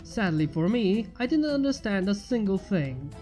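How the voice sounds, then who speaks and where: A woman's voice: medium pitch, big pitch swings, normal speed, warm tone. A woman reading a first-person fan fiction story aloud as its narrator. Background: faint music.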